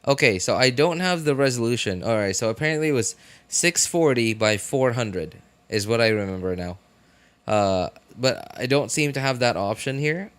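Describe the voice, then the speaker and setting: A man live streaming a video game, chatting casually and thinking aloud in a halting way. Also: mid-range voice, lively intonation, clear, resonant tone, no echo. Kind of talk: casual live stream chat while gaming.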